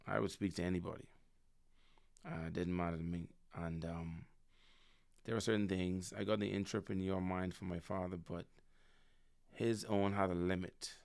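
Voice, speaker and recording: A man of around thirty, a podcast guest speaking in a relaxed, reflective way on a stand mic in an office room. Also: low voice, moderate pace, creaky, pauses often. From Bahamas. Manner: relaxed, reflective, repeats words as he thinks. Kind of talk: casual conversation. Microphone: studio mic on a stand.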